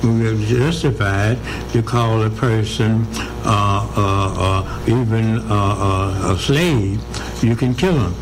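Halting man with many fillers. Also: lowish voice, music in the background, low-quality recording. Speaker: guest. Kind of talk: radio interview.

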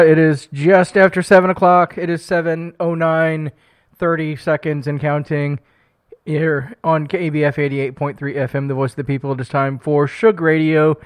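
A man's voice: on the high side, smooth. A man hosting a community radio music show, chatty and upbeat, fighting a cold. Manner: upbeat, chatty, self-deprecating, with fillers and restarts. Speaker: radio host. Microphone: studio broadcast microphone.

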